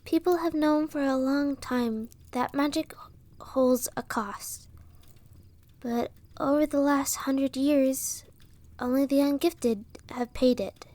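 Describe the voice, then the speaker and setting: A woman playing an earnest, sorrowful young villager and rebel in a fantasy audio roleplay, speaking with dramatic pauses. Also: sits high, fairly even intonation, warm tone, no echo. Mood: grave, earnest. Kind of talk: audio roleplay acting, storytelling dialogue.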